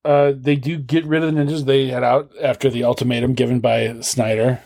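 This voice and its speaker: A man's voice: medium pitch, flat delivery, brisk, creaky, darkish tone. A man co-hosting a podcast, chatting casually and jokingly about film in a wry, irreverent way. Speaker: podcast co-host.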